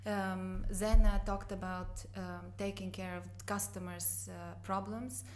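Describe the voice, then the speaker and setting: Interview guest: middle-aged woman, even and level delivery, measured business talk. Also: medium pitch. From Bulgarian and Polish parents. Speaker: guest, company co-founder.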